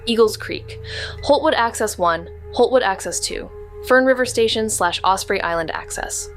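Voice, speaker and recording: A woman playing a tense, observant investigator who narrates a noir mystery drama in a measured, descriptive way. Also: fairly high pitch, faint music behind, natural voice. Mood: wary, tense.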